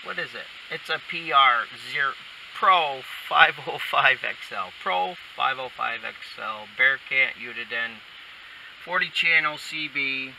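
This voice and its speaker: A man talks through a hands-on job in a casual, plainspoken way, with a medium-pitched voice. He sounds set back from the microphone inside a vehicle, with loud noise around him. His delivery is unhurried and sometimes muttered as he works. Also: gravelly. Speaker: DIY installer demonstrating a how-to. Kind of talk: casual hands-on tutorial talk. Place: inside a pickup truck cab. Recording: distant mic, loud vehicle background noise.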